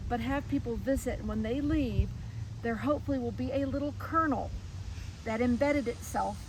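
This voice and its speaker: A woman's voice: fairly high pitch, moderate pace, slight background noise, low-quality recording. A woman speaking as an interview guest and museum director, explaining things in an earnest, articulate, conversational way.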